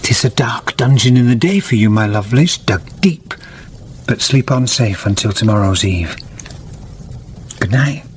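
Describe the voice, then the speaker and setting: A man's voice: mid-range voice, animated, a little hiss or hum, natural voice. An actor playing a lonely miser who murmurs adoringly over his hoarded gold in a period radio drama. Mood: tender, gloating. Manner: slow, hushed, adoring.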